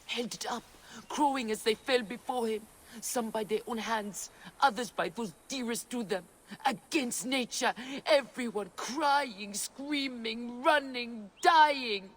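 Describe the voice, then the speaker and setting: An actress playing an old, half-blind peasant woman who tells of a massacre in slow, cryptic, raspy speech. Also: fairly high pitch. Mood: haunted. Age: older. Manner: slow, eerie storytelling in bird images.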